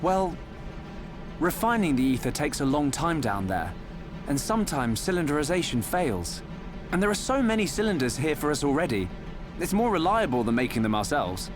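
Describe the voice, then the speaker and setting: A man's voice: a little high, big pitch swings, normal speed. An actor voicing an earnest, thoughtful young male researcher in a video game cutscene, explaining things with curiosity. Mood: earnest, determined. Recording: music behind the voice. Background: music.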